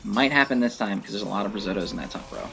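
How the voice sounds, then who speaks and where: A man giving casual, rambling commentary on gameplay in an informal, animated way. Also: lowish voice, very fast, vocal fry, music in the background. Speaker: gameplay showcase host.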